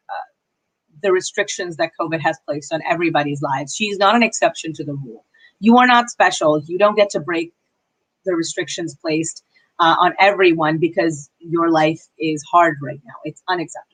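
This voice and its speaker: A young woman giving casual, opinionated commentary on a livestream, breaking off to answer her chat with quick apologies. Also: fairly low pitch, fairly bright tone, low-quality recording. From Pakistani heritage. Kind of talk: casual live-stream commentary reading chat.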